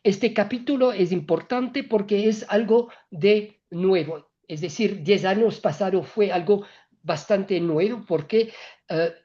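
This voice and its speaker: Non-native woman, panel speaker, slow and hesitant, muffled recording. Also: mid-range voice, dark tone. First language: not Spanish. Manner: halting, many filler sounds and restarts, non-native grammar slips. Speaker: EU trade representative speaking at a business panel. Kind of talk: hesitant panel talk on trade policy.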